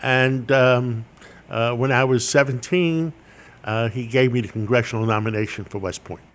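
A man's voice: medium pitch, normal speed, fairly bright tone. An older man, a Greek speaker from childhood, telling wry, self-deprecating stories as an interview guest. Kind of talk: interview storytelling.

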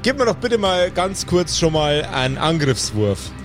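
A man's voice: fairly high pitch, sing-song, moderate pace, music in the background. A man improvising narration as game master of a tabletop game, addressing his players with playful formality. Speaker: game master of a pen-and-paper podcast.

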